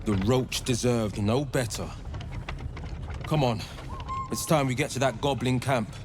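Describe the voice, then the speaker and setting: An actor playing a vengeful, brooding young heroic monster hunter with grandiose, theatrical delivery in a video game. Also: male voice, mid-range voice, unhurried, noisy background, lightly acted. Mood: grim resolve. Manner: theatrical, declamatory. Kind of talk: dramatic video game dialogue acting. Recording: loud music bed behind the voice.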